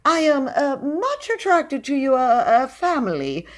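A woman's voice: sits high, big pitch swings, pitched above the performer's own voice. A woman reading aloud voices a prim, pompous thin male would-be poet who stammers and hesitates with many uh's. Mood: fawning. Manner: fussy, halting with many uh's.

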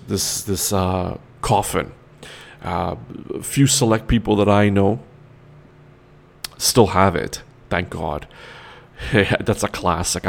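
Host, male, 40s, very low and gravelly, rambling, enthusiastic solo talk. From Canada. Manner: rambling, enthusiastic, many fillers and restarts.

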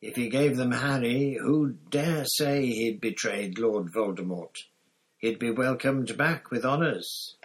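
A man's voice: mid-range voice, takes it slowly, voice pushed up, natural voice, rough recording. A man voicing a gaunt, bitter escaped prisoner who speaks with harsh intensity in a storybook reading. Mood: earnest.

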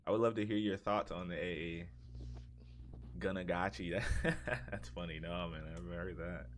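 A man's voice: low-pitched, steady tone, moderate pace. A man co-hosting a podcast, chatting casually and informally about video games. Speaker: podcast co-host. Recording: audible hum and background noise.

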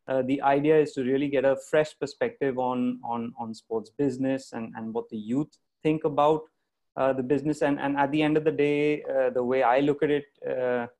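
Man, host, video call, halting speech, lots of uhs and restarts, mid pitch. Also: fairly even intonation, a little mellow. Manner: halting, many fillers and restarts. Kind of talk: live online panel discussion.

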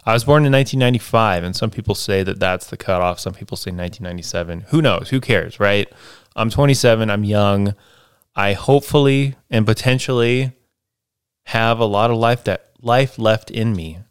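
A man's voice: lowish voice, normal speed, clear, resonant tone, no echo, studio quality. A man in his twenties hosting a podcast, talking through his life plans in a loose, rambling way with many fillers and tag questions. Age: 20s. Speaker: podcast host. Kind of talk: casual solo podcast monologue.

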